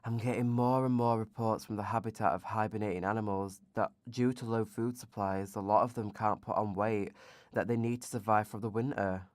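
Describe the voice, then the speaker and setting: A man's voice: fairly low pitch, fairly even intonation, fairly quick, few pauses, a little mellow, dry recording, close to an everyday voice. A man acting in a radio drama as a grumpy, sleepy spirit of hibernation season, grumbling with weary sarcasm. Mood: dry sarcasm.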